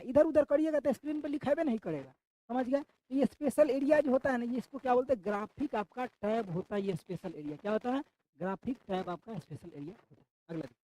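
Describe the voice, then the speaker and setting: A man's voice: extremely high pitch, mellow, no echo, low-quality recording. A man with a sore throat teaches an online exam-prep class, repeating each question back to his students.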